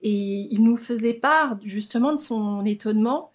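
A woman's voice: a little high, a little slow. A middle-aged French woman speaking as an interview guest, explaining things steadily with frequent fillers. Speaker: guest, mother and business founder. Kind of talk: casual conversational interview.

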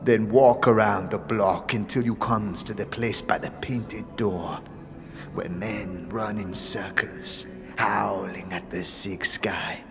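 A woman as a slow-spoken, bitter slum woman. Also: a bass voice, steady tone, over music, exaggerated voice. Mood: low, menacing. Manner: slangy, scornful, ungrammatical speech. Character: bitter, grieving street woman of a fantasy slum. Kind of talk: fantasy audio drama acting.

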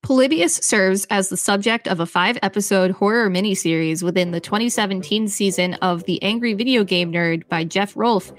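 A woman's voice: mid-range voice, normal speed, few pauses. A woman co-hosting a podcast, narrating a scripted encyclopedia-style article aloud.

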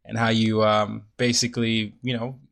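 A man's voice: on the low side, flat delivery, normal speed, creaky, fairly bright tone. A man hosting an interview, friendly and curious, recapping his guest's points and asking follow-up questions. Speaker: interview host.

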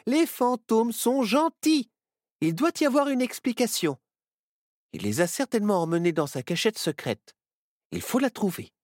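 A fearless young storybook girl with a high, bright, lively voice, brisk and self-assured. A man acts her out at an unhurried pace, close and dry. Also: no room sound. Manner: confident, matter-of-fact. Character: bold, brisk young girl, calm and bossy. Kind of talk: storybook reading.

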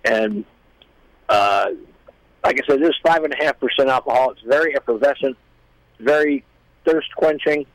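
A man chatting casually as a guest, explaining things in a rambling, halting way. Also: mid-range voice, dry recording, low-quality recording. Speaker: guest, musician. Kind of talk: casual chat.

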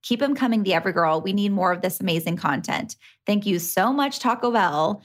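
A woman's voice: mid-range voice, animated, brisk. A woman hosting a chatty podcast, speaking in an enthusiastic, informal and gushing way. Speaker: podcast host and interviewer.

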